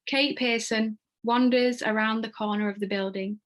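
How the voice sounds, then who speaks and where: A woman's voice: fairly high pitch, fairly even intonation, moderate pace. A woman narrating screenplay stage directions at a table read. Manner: reads screenplay action descriptively.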